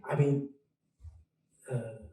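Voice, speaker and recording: Older man with a very dark, mellow voice. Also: mid-range voice, fairly even intonation, roomy sound, recorded from a distance. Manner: halting, many restarts, gentle storytelling. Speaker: guest cantor. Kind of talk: casual reminiscing conversation. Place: room on an online call.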